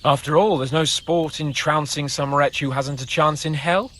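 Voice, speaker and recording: A man's voice: on the high side, steady tone, normal speed, fairly bright tone. An actor playing an arrogant, hot-tempered young nobleman in a video game, with a haughty, scornful, exclamatory manner. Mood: haughty, mocking. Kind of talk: video game dramatic voice acting.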